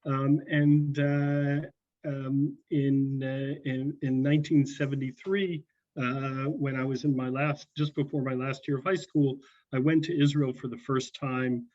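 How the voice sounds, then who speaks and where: A man's voice: medium pitch, flat delivery, a little slow, a little mellow. Older guy from north carolina on a video call, lots of ums, dull lo-fi sound. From North Carolina, USA. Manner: hesitant, many fillers. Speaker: guest speaker. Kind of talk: personal talk over video link.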